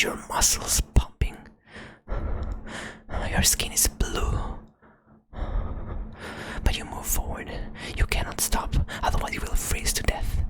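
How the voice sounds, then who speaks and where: A woman whispering a fantasy adventure to the listener in the second person, close to the microphone, as ASMR narration. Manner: soft whisper throughout, second-person telling. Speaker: storyteller.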